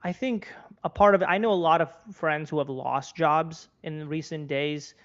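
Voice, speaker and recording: Smooth, even-toned young American man. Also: on the high side, normal speed, dull audio. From Florida, United States. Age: 20s. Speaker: interview guest, content creator and journalist. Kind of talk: conversational interview commentary.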